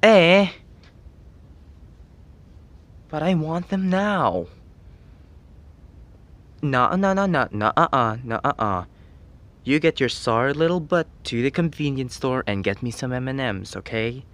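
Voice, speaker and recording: A man's voice: medium pitch, lively intonation, unhurried, fairly bright tone. A young man playing a grumpy, teasing tsundere teenage best friend in an ASMR roleplay, scolding in an exasperated, whiny way. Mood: whiny, demanding.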